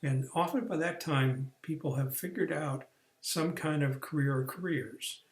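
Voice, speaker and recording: A man's voice: fairly high pitch, fairly even intonation, unhurried, echoey room. A man explaining a career course in a plain, step-by-step way, with some restarts and fillers. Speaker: career coach. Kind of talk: recorded online training lesson.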